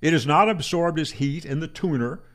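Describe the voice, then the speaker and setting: An older man, a ham radio educator, explains antenna myths to camera in a matter-of-fact, debunking tone, asking himself rhetorical questions, speaking into a boom-arm microphone in a small room. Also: normal speed, a bit bright. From Florida. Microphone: studio microphone on boom arm.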